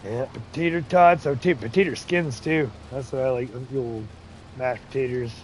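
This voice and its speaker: A man's voice: medium pitch, normal speed, slight background noise. A man hosting a casual farming game livestream, chatting and laughing often as he reads chat aloud. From English, Irish, Scottish, Ukrainian and Romanian heritage. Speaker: livestream host.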